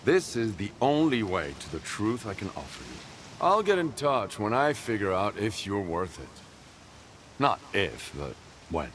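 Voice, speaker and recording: Brief: a bitter, secretive loner, very deep voice, guarded delivery, noisy game ambience. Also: male voice, big pitch swings, measured pace, a bit bright. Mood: grim. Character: grim secretive male stalker loner, bitter and distrustful. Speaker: video game character. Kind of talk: video game cutscene voice acting.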